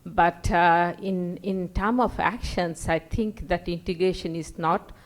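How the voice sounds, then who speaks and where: A woman's voice: on the low side, steady tone, a little slow. A woman from Bangladesh with a Bangladeshi accent, an NGO worker giving an example from the floor of a conference. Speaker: NGO worker, audience speaker.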